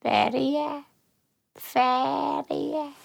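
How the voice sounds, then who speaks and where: Talking goose: high, very slow, sweet and simple, quacky halting words, children's radio play. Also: female voice, fairly even intonation, exaggerated voice. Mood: naive. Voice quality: creaky, quacking. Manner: halting, speaks in short repeated words.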